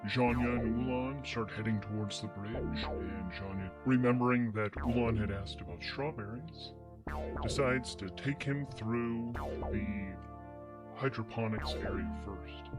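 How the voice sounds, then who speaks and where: A man's voice: lowish voice, measured pace. A man narrating a solo tabletop roleplaying story as its host, in an informal, conversational way. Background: music.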